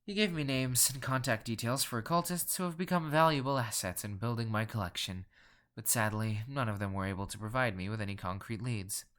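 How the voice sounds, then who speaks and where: A man's voice: medium pitch, brisk, fairly bright tone, lightly acted. A man playing a pompous, ruthless wealthy collector, telling a dramatic horror story in formal, cool, theatrical phrasing. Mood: haughty, reflective. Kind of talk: dramatic first-person horror narration.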